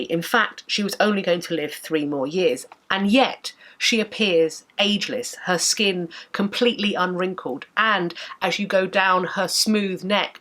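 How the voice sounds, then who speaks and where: A woman in her thirties with a blocked nose and sore throat, giving a measured, articulate history lecture to camera from her home study. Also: fairly low pitch, a bit bright. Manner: measured, articulate, enthusiastic explainer. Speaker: history educator and channel host. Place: home study with bookshelves, indoors.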